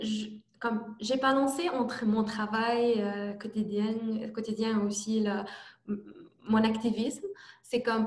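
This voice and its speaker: A young woman presenting her project in halting speech with many fillers and restarts, speaking as an over a video call. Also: on the high side, echoey room. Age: young adult. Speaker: youth forum participant presenting her project. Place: home, remote online event.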